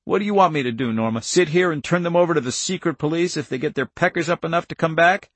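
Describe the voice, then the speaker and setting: A man's voice: fairly high pitch, fast talker, a bit bright, dry recording, pitched above the performer's own voice. A man voicing a wounded older farmer in an audiobook story, plainspoken, stern and stubborn, with folksy country talk. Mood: indignant. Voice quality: breathless.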